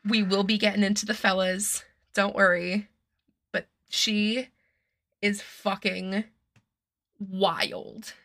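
A woman's voice: medium pitch, flat delivery, slow pace, pauses often, fairly bright tone. A young woman from Massachusetts hosting a casual true-crime podcast, chatty and swearing freely, her voice still a bit nasal and strained from being sick. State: slightly nasal and strained from illness. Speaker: podcast host.

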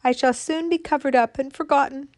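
A woman's voice: high-pitched, lively intonation, unhurried, darkish tone, dry recording, pitched above the performer's own voice. A woman voicing a small, timid and hopeful little acorn girl in a storybook tale, with an eager, pleading manner. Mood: despairing.

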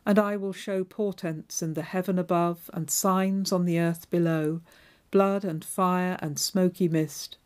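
Measured woman preaching, low voice. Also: darkish tone. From Devon, England. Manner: measured, clear liturgical delivery. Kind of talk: scripture reading and sermon.

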